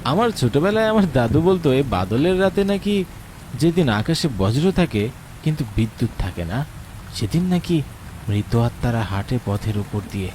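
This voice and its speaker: A man's voice: mid-range voice, very animated, moderate pace, darkish tone, slight background noise, close to an everyday voice, poor audio. A man playing a reflective narrator and wary friend, telling an atmospheric scripted ghost story. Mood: reflective, ominous. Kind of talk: atmospheric scripted horror storytelling with dramatised dialogue. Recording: rain and thunder behind the voice. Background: rain, thunder.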